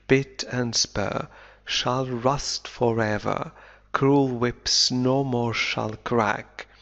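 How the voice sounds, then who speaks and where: A man teaching English, reading a novel aloud slowly and deliberately and explaining it line by line, an Arabic speaker. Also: medium pitch, fairly bright tone. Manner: slow deliberate reading, then quick explanations. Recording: dull lo-fi recording.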